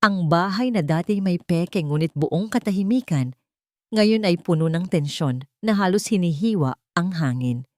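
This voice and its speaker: A woman's voice: lowish voice, animated, a little slow, no echo, clean studio sound. A woman reading a scripted dramatic story as its storyteller.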